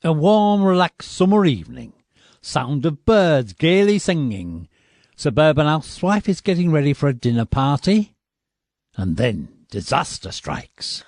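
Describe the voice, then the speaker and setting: Man acting an animated spoof-advert narrator. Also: fairly high pitch, measured pace, dry recording. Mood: mock-dramatic. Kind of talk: scripted parody narration.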